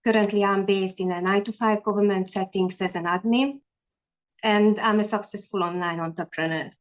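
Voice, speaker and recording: A woman's voice: medium pitch, fairly even intonation, brisk. A woman in her forties from Hungary with a Hungarian accent, a guest on a video call telling her story earnestly, with many fillers and restarts. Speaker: guest, online entrepreneur. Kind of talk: casual interview chat.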